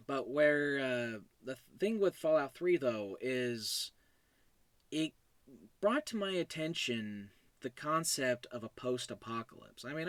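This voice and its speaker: A man's voice: mid-range voice, fairly bright tone, no echo. A young man talking casually as a solo podcast host, in an informal, rambling way and often correcting himself. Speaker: podcast host and show creator.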